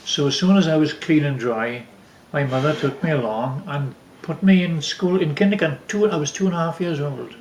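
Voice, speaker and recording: An older man from Wales telling stories from his life casually, haltingly, repeating words as he recalls them. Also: a little high, a little hiss or hum. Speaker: father telling family stories. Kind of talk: casual storytelling, reminiscing. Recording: roomy, echoey home recording.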